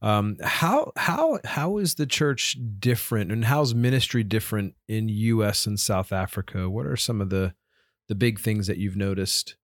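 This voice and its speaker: A man hosting an interview, his voice on the low side. He asks his questions gently and haltingly. The sound is dry and close. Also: expressive, no room sound. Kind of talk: interview conversation.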